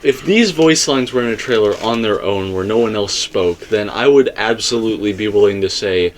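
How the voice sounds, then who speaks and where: A man with a fairly low voice hosts a relaxed discussion show. He talks informally to his viewers and explains his points step by step, as if chatting through an idea with a guest. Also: moderate pace, slight background noise. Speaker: host of a fan theory discussion.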